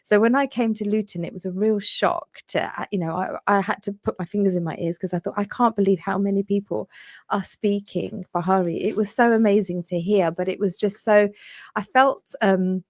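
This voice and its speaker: A woman's voice: medium pitch, darkish tone, dry recording, clean studio sound. A middle-aged woman from England hosting a community radio chat, speaking reflectively and hesitantly with many fillers. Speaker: radio host. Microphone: studio microphone.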